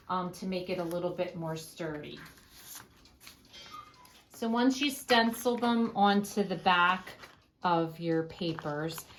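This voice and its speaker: A woman explaining a paper craft step by step in a casual, unhurried way with frequent fillers, her voice going hoarse. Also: on the low side, a little hiss or hum. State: losing her voice, coughing. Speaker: craft tutorial host.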